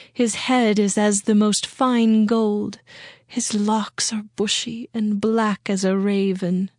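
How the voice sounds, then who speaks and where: A woman's voice: mid-range voice, a little mellow, dry recording, clean studio sound, natural voice. A woman playing a lovesick young bride in a dramatized scripture reading, speaking in a yearning, poetic way with music under her.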